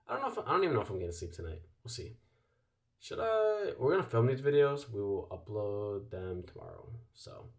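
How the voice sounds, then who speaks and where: A young man talks in a tired, low voice. He rambles loosely through a casual faith vlog, full of fillers and restarts, his pitch sitting deep in his chest. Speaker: YouTuber.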